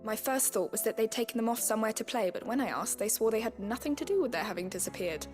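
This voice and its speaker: A woman playing a polite, earnest, gently firm schoolteacher in a fantasy video game. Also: on the high side, animated, faint music behind, lightly acted. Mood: puzzled, wry. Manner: measured, well-spoken.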